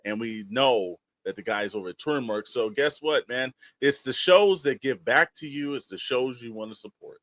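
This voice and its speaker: Casual male co-host with a medium-pitched voice. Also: animated, normal speed, few pauses, fairly bright tone, no echo. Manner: colloquial, emphatic, addresses listeners directly. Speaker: podcast co-host. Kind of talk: casual chat.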